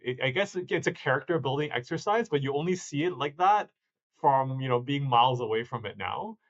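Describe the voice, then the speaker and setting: A man's voice: medium pitch, animated, a little fast. Reflective man, slightly muffled sound. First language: not stated. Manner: reflective, many restarts and self-corrections. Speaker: startup founder, guest. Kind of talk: conversational interview answers.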